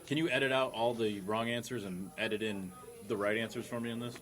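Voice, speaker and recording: A man's voice: on the low side, fairly even intonation, a little fast, fairly bright tone, poor audio. A man in his late thirties, a comedian contestant trading casual, exclamatory wisecracks. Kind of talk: casual joking banter.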